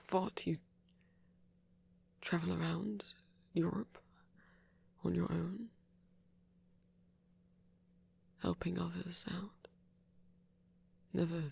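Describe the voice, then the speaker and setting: A man playing a soft-spoken, curious and reassuring vampire in an intimate audio roleplay, with a breathy, deliberate delivery. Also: high voice, full character voice. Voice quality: breathy, husky.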